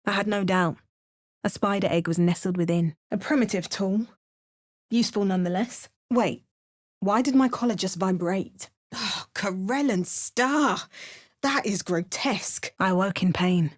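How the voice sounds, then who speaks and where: A woman's voice: mid-range voice, measured pace, close to an everyday voice. A young woman voicing a tough, dry-witted adventurer heroine in a fantasy video game, speaking in a wry manner. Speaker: player character voice.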